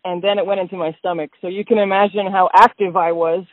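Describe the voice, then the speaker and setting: A woman's voice: on the low side, steady tone, quick pace, dry recording. A woman chatting casually as a podcast co-host, answering with wry, dry jokes. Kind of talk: casual chat. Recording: dry phone-like sound.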